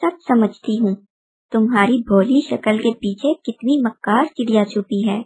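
A woman's voice: a little high, moderate pace, darkish tone, breathy edge. A woman voicing a quarrelsome, cunning female bird in a children's story, accusing and indignant.

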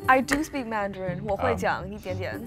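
A woman's voice: medium pitch, lively intonation, a little fast, loud music behind the voice. Eager young woman, Cantonese native. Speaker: game contestant. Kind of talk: casual group chat.

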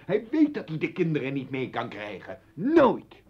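An actor playing an indignant, scornful professor in a radio play, mocking and oratorical. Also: male voice, a little high, huge pitch swings, moderate pace, slightly rough, heavily acted. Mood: dismissive. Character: scornful, indignant professor, a husband defending his household. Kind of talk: Dutch radio play acting. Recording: dull old radio recording.

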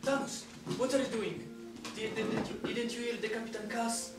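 A man's voice: very high-pitched, steady tone, moderate pace. A young man playing a wary slave and loyal friend in a stage play, speaking in a cautioning way. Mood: worried, wry. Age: young adult. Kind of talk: amateur stage acting. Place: small theatre stage. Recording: very echoey, distant, loud music behind.

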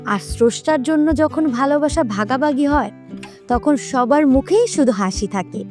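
A woman's voice: high voice, animated, measured pace, darkish tone, faint music behind. A woman narrating a scripted story for children as a storyteller.

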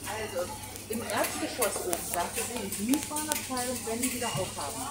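A woman's voice: fairly high pitch, expressive, brisk, over loud music. A woman shopper chatting casually with a companion while shopping in a supermarket. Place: inside a busy supermarket. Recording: very noisy supermarket ambience recording. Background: loud shop noise and bustle.

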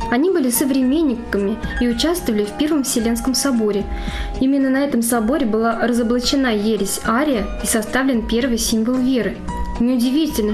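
A woman reading scripted documentary narration for a television program. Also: on the high side. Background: music.

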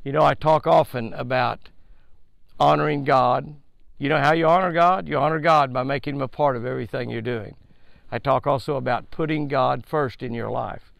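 A man's voice: medium pitch, fairly even intonation, moderate pace, vocal fry, dry recording, highs cut off. An older man hosts a daily devotional with a fishing tip, preaching in a folksy, emphatic way and repeating his points, recorded outdoors by a lake on a headset mic. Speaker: fishing show host.

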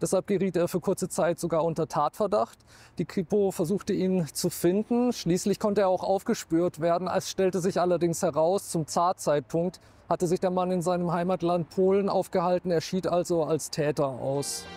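Guy around 40 telling a crime case to camera, measured, higher voice, lapel mic outside. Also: fairly even intonation, a little mellow. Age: 30s or 40s. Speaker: true-crime TV expert. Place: outdoors in town.

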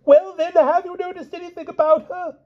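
A man reading an audiobook, voicing a flustered, excitable old king who exclaims in a shrill voice. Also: fairly quick, muffled recording. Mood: exasperated. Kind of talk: audiobook character voice.

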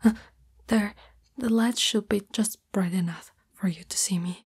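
A woman voicing a shy, lovestruck young spider monster girlfriend in an ASMR roleplay, hesitant, tender and intimate in a breathy whisper. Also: mid-range voice, a little slow, a little mellow. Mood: hesitant, self-conscious. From Italy.

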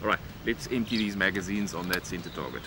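A middle-aged man presenting a shooting vlog in a casual, expressive way, talking straight to his viewers. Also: deep voice, fast talker, background noise. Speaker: host of an airgun shooting channel.

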